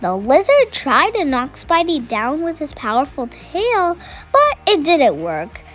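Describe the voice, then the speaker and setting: Female children's storybook reader, expressive and high-pitched, unhurried delivery, faint music underneath. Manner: expressive, addresses young listeners with questions. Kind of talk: read-aloud storytelling with chatty asides to children. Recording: music behind the voice.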